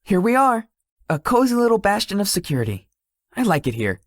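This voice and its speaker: A woman's voice: mid-range voice, very animated, brisk, dry recording, studio quality. A woman voicing a shy, polite young male tech executive in a visual novel, slightly formal and hesitant in manner. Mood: relaxed, friendly. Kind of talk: visual novel character dialogue.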